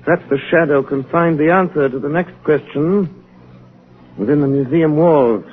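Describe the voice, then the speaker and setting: An actor playing a composed, authoritative crime-fighting sleuth in a 1930s radio drama, speaking in a measured, formal, deliberate way. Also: male voice, a little high, natural voice. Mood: intent. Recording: muffled, narrow-band 1930s radio recording.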